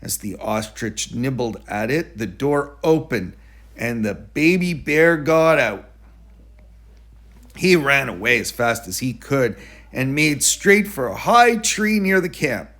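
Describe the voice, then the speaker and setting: A man reading a children's story aloud in an expressive storytelling style. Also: medium pitch, slow. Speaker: storybook reader.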